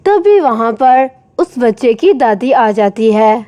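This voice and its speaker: A woman's voice: on the high side, big pitch swings, slow pace, warm tone. A woman narrating a scripted children's story.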